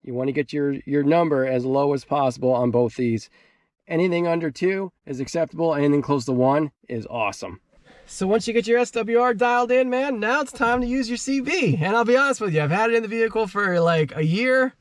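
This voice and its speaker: A man's voice: high voice, a little fast, no room sound, clean studio sound. A man talking casually and informally as the host of a do-it-yourself car vlog, using plenty of slang as he explains things. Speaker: host of a car DIY channel. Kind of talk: casual how-to vlog talk.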